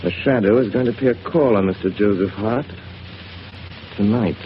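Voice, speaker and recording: An actor playing a calm, deductive gentleman detective who explains in a measured, confident way, in 1930s radio drama style. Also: on the low side, lightly acted. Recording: hissy lo-fi 1930s radio broadcast.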